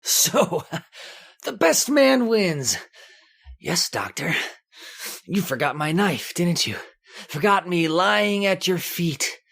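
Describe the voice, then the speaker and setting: A wounded convict knifeman acted by a man in a radio horror drama. He sneers and gloats vengefully, the pitch rising and falling sharply with theatrical relish. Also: big pitch swings, takes it slowly, creaky, pauses often, a bit bright, exaggerated voice. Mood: bitter triumph. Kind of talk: old-time radio horror acting.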